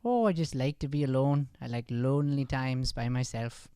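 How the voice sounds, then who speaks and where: A man's voice: medium pitch, moderate pace, warm tone, clean tone, dry recording, studio quality, lightly acted. Man playing a shy, naive Irish boy. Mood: shy, friendly. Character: Cedric, shy solitary boy who likes humming. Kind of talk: improvised old-time radio play acting.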